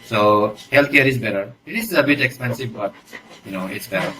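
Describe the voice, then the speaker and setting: A man's voice: mid-range voice, lively intonation, a little fast, background noise. A man from Nepal with a Nepali accent, giving hesitant, conversational answers as an interview guest, often adding "you know". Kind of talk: casual interview talk.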